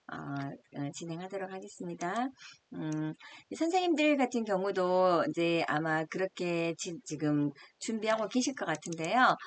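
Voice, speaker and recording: Sex: woman. Role: smartphone instructor. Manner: polite, gentle, filler-heavy. Pitch: lowish. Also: slight background noise. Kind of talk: online video-call lecture. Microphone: video call.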